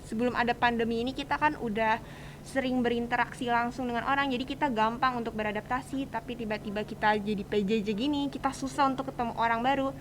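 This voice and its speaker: High-voiced teenage girl chatting on a podcast. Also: fairly even intonation, fast talker, background noise. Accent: Jakarta Indonesian. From Indonesia. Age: teens. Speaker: student podcast host. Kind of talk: casual podcast conversation.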